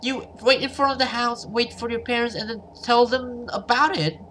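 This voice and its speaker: A man voicing a frightened, pleading young woman in a horror visual novel, excitable and desperate. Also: very high-pitched, moderate pace, slight background noise, pitched above the performer's own voice, low-quality recording. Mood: exasperated.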